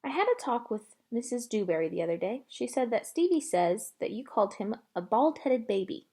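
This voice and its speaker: A woman voicing a withdrawn, gently firm preacher father in a storybook, measured and pensive. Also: fairly high pitch, animated.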